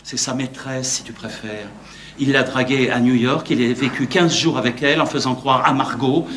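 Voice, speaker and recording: An actor playing an exasperated, scheming husband in a theatrical French farce, sarcastic and emphatic. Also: male voice, mid-range voice, steady tone, fairly quick, natural voice. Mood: exasperated, confiding. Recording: echoey stage, noisy background.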